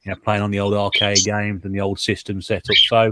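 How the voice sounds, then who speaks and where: A man, speaking in a measured way in a casual video-call chat. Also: on the low side, completely flat delivery, heavy background noise. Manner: measured, lots of "you know".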